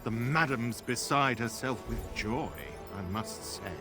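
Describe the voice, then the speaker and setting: A man's voice: medium pitch, a little slow, close to an everyday voice. An actor playing an effusive, sly male treasurer in a game cutscene, with florid, theatrical speech. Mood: gleeful, fawning. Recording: music behind.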